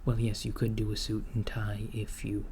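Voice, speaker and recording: A man playing a calm, deadpan recruiter of henchmen in an ASMR roleplay, speaking softly and hesitantly, often near a whisper. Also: lowish voice, moderate pace, slight background noise, natural voice. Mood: deadpan, casual. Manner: hesitant, deadpan, reassuring office politeness. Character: calm, matter-of-fact villain's henchman recruiter, male, mildly awkward. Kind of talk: ASMR roleplay, soft-spoken job interview. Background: computer keyboard typing.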